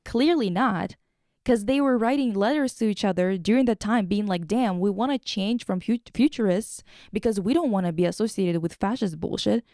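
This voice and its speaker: A woman's voice: medium pitch, moderate pace, dark tone, no room sound, clean studio sound. A woman hosting a podcast in casual chat, slangy, sweary and irreverent as she recaps and reads things aloud. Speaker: podcast host.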